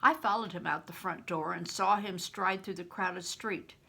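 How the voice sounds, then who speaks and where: An older woman reading a story aloud as a narrator, with deliberate, emphatic expression. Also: lowish voice, vocal fry, pushed and bright, slightly rough. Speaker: storybook reader, retired reading teacher. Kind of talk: expressive scripted audiobook narration.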